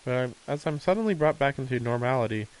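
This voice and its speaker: A man's voice: medium pitch, lively intonation, fast, low-quality recording. A man streaming a visual novel, reading the text aloud and mixing in casual commentary, with faint noise behind him.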